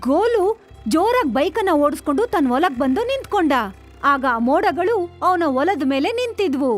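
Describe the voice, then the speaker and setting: A woman narrates a children's story from a script. Her voice is extremely high in pitch. Soft music plays behind her narration. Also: expressive, normal speed.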